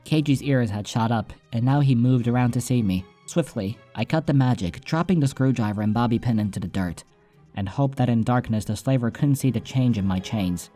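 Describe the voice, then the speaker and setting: A man's voice: mid-range voice, fairly quick, warm tone. A man reading a scripted first-person story as an audiobook narrator, with soundtrack music behind him.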